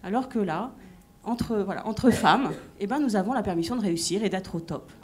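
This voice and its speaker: A woman's voice: medium pitch, expressive, fairly quick, a little hiss or hum. A woman career coach speaking on a conference panel, thoughtful and hesitant with many fillers. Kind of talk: panel discussion answer.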